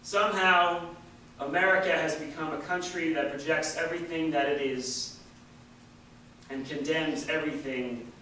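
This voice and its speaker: A man giving a deliberate, emphatic reading of a written political essay at a public event. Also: on the high side, fairly even intonation, sharp tone. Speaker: reader at a staged public reading. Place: large indoor hall at a public event. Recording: echoey hall from far off, faint noise.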